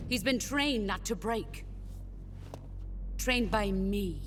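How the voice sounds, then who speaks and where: An actress playing a stern, composed veteran soldier and mentor in a video game, speaking firmly and with measured certainty. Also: female voice, mid-range voice, animated, in a large hall, a little hiss or hum. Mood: grave, firm. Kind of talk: dramatic video game acting.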